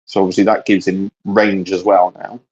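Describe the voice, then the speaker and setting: A man co-hosting a casual geeky chat, speaking in halting, hedged phrases. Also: sits low, level tone, a little mellow, breathy edge, lo-fi sound. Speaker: co-host of a hobby discussion show.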